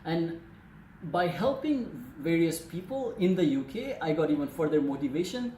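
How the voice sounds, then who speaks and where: A man's voice: a little high, sing-song, normal speed, mellow. A man in his twenties from Nepal with a Nepali accent, giving a prepared personal presentation as a student in an earnest, formal way. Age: 20s. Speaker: student speaker. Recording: echoey room with faint noise.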